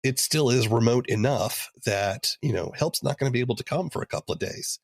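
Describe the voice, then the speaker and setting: A man's voice: medium pitch, a little fast, vocal fry, dry recording, clean studio sound. A man hosting an interview podcast in a conversational style.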